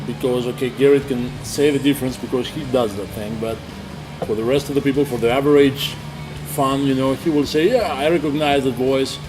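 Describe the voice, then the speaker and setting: A man who plays in a band, explaining the band's plans in a casual interview in halting English full of fillers. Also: mid-range voice, steady tone, music in the background, rough recording. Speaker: band member.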